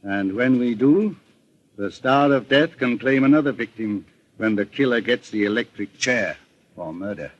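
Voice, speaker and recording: An actor playing a courteous, authoritative private investigator in a 1940s radio drama, speaking in formal, measured questions. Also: male voice, mid-range voice, lively intonation, background noise, natural voice. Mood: firm, resolute. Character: composed, courteous older male private investigator, probing and authoritative. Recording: dull old-time radio broadcast recording.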